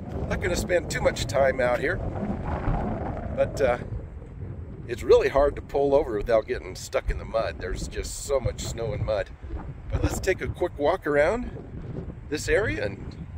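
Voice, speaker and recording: Chatty man vlogging outdoors in wind. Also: medium pitch. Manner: chatty, easily impressed, thinks aloud. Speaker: travel vlogger. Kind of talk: casual road-trip narration. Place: outdoors on a windy small-town street and in a car. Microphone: phone camera at arm's length. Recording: wind and car noise behind. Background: wind and passing traffic.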